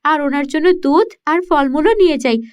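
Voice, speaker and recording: Lady doing an earnest young village girl, kids cartoon, super high voice, emphatic, dull sound. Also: normal speed, a little mellow, natural voice. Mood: eager. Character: earnest, kind-hearted poor village girls, young friends chattering. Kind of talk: children's cartoon voice acting.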